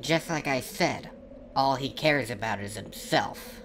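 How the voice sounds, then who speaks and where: A woman voicing a small fairy companion in a cartoon parody, sharp, scolding and politely pointed. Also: extremely low pitch, measured pace, over loud music.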